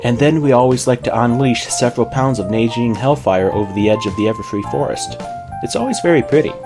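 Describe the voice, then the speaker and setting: A man's voice: mid-range voice, fairly quick, over music, lightly acted. A man voicing a timid, polite young female pony in a story, hesitant and oddly nonchalant.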